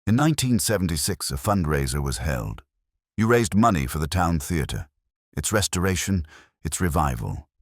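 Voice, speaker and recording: Guy reading as a calm precise woman calling out wrongdoers, audiobook, low voice, restrained, smooth. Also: no echo, studio quality. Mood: controlled accusation. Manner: smooth, measured, untheatrical.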